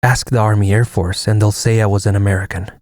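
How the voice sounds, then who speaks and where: Guy doing a fatalistic navajo war pilot for a comic audiobook, lowish voice, grave and measured, really dry sound. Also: fairly even intonation, a little mellow, dry recording, lightly acted. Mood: resigned, calm. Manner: solemn, measured delivery. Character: stoic Navajo lieutenant of the US Army Air Force, fatalistic. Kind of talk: dramatic first-person narration and dialogue in an audio comic.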